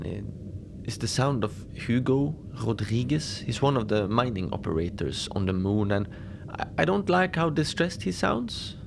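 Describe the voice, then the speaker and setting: Gravelly, unflappable station manager played by a man. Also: medium pitch, background noise. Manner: casual rambling, wry understatement, small chuckles. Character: unflappable lone male facility manager at an Antarctic station. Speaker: host and narrator of a fiction podcast. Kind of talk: cozy horror audio diary, radio transmission monologue.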